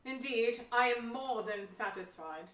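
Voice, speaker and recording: Lady playing a devout determined pilgrim pastor, sharp bright voice, old timey formal talk, echoey, bit far from mic. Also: a little high, a little slow. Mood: satisfied. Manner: earnest, archaic formal phrasing. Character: devout, resolute Pilgrim pastor, male, earnest. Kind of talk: amateur historical audio play acting. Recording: roomy echo, distant from mic.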